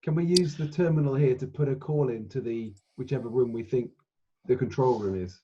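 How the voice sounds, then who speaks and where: A man's voice: medium pitch, fairly quick, dark tone, slightly rough. A man chatting casually as a player in a tabletop role-playing game. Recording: slightly dull, faint noise and clicks.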